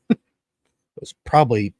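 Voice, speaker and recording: Man in his thirties, podcast host, excitable, swears a lot, dry close sound. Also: slow pace, no echo. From Connecticut, USA. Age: 30s. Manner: excitable, swears freely, laughs often. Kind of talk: casual wrestling chat.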